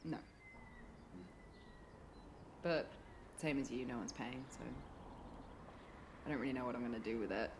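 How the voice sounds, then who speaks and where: A woman's voice: extremely low pitch, a little slow, pauses often, noisy background. A British woman playing a broke, frustrated young writer who is teasing and blunt in a naturalistic radio drama. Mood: guarded, discouraged.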